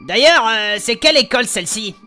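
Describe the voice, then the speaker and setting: Forthright female adventurer actress's role, high and piercingly bright, casual and bossy, comic fantasy audio drama. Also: expressive, a little fast, soft background music. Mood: practical. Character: bossy, practical female adventurer who scolds her companions.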